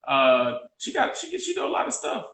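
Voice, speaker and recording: A man with a loud voice, co-hosting a casual live-stream chat. He is energetic, repeats himself and restarts his sentences often. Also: high voice, normal speed, gravelly, slight background noise. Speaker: podcast co-host. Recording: roomy echo.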